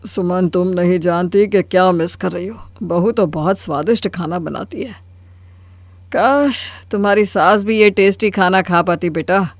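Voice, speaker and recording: A man's voice: sits high, unhurried, dry recording. A man playing a middle-aged, easygoing father-in-law in a Hindi cartoon story, soothing and reasoning in manner. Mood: affectionate, cheerful. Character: kindly, easygoing father-in-law who keeps the peace.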